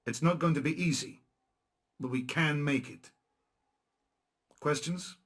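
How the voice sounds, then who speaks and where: A man's voice: fairly high pitch, a little slow, a bit bright. An actor playing a resistance leader in a video game, blunt, dry and sarcastic as he briefs his men. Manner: dry, sarcastic, matter-of-fact. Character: dry, blunt male guerrilla commander. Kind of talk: video game cutscene acting and narration.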